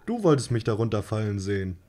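A man voicing August, an odd, nervous young man who stalls and speaks flatly, in a radio play. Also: fairly low pitch, fast. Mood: deadpan. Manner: hesitant and stalling, flat deadpan. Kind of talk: amateur radio-play reading.

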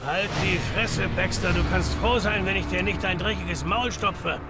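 An actor playing a bitter, hot-tempered male brawler in a video game, gruff and aggressive. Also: high voice, steady tone, normal speed, close to an everyday voice. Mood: angry. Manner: gruff, aggressive, foul-mouthed. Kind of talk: dramatic video game acting. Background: music.

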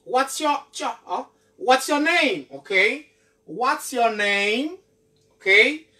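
A young man teaching an English lesson at a whiteboard, slowly repeating each phrase and often checking with "ok?". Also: very high-pitched, lively intonation, pushed and bright. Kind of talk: classroom lesson. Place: small indoor room at a whiteboard. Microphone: camera mic from a few feet away. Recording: voice from far off the mic.

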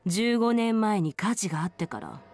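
An actress playing a proud, casual, teasing big sister who tends a bar, in a video game drama. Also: female voice, mid-range voice, expressive, moderate pace, a little mellow, close to an everyday voice. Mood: guarded.

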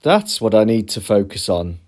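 A man giving comic scripted narration as a storyteller, in a deadpan, measured manner. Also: on the low side.

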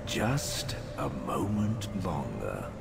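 An actor playing a menacing, possessive sorcerer villain in a video game, gloating and theatrical. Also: male voice, a bass voice, big pitch swings, extremely slow, gravelly, full character voice. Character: menacing, possessive male sorcerer slave master. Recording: long reverb, music behind.

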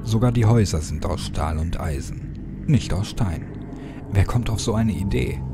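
A man reading a scripted first-person horror story as an audiobook narrator, in a measured, dramatic way. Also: on the low side, lively intonation. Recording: music behind the voice.

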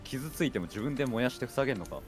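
Man, medium pitch, varied intonation, casual game stream chat, teasing, dry retorts, faint music. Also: lively intonation, racing. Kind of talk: casual gaming chat with a co-player.

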